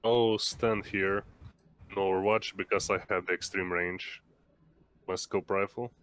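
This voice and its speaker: A man's voice: low-pitched, fairly even intonation, a little slow, fairly bright tone, smooth. A man playing a tabletop game, talking casually about his moves over group voice chat.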